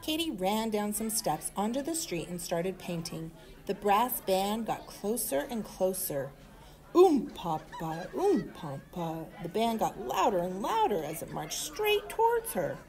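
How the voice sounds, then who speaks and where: Woman storybook reader: expressive, animated, medium pitch, for children's read-aloud. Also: unhurried.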